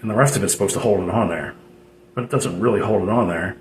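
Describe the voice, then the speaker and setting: A man's voice: on the low side, fast. A man giving an unscripted, casual how-to explanation as a hobbyist, in a hesitant, rambling way with repeated phrases. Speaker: DIY radio hobbyist presenting a tutorial. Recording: roomy echo with background noise.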